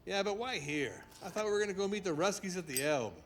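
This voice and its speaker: A grumbling rank-and-file soldier in a war game. His casual voice is slightly high and swings up and down, with clear noise behind it. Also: animated, quick pace, fairly bright tone. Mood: questioning, casual. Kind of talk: military video game cutscene acting. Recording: clearly noisy background.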